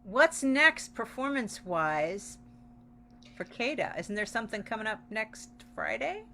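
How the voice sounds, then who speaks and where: Bright, emphatic woman on a noisy call. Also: a little high, sing-song, unhurried. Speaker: theater company representative, co-host. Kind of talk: casual promotional talk-back chat. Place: online video call.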